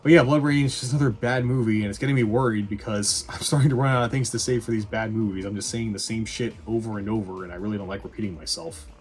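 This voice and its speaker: A man with a husky voice giving casual, scripted movie review commentary in a sarcastic, irreverent, sweary manner. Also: on the low side, fairly even intonation, fast, few pauses, faint music behind. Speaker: video reviewer.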